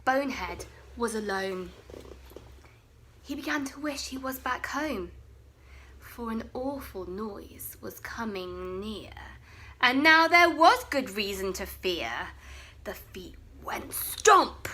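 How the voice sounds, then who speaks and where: A young woman reading a bedtime story aloud, expressive and often dropping to a hushed whisper. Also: on the high side, takes it slowly, slight background noise.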